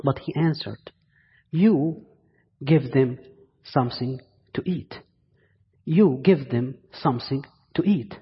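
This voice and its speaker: Slow Egyptian-accented male preacher in a reverberant hall. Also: mid-range voice. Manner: deliberate, with pauses and repeated phrases for emphasis. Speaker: guest preacher. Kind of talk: sermon to a congregation. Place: large indoor church space. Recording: muffled, reverberant church hall sound.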